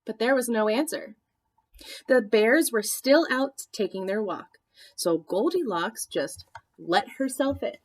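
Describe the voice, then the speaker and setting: Slow-paced woman reading a storybook aloud. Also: a little high. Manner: expressive, deliberate storytelling. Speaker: art teacher reading aloud to children. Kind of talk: storybook read-aloud narration with asides.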